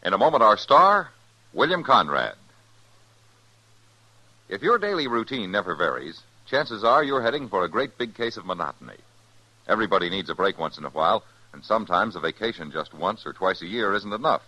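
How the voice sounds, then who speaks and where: A man's voice: fairly low pitch, big pitch swings, a little fast, pushed and bright, no room sound, rough recording. A man with an American accent reading a scripted appeal as a 1950s radio announcer.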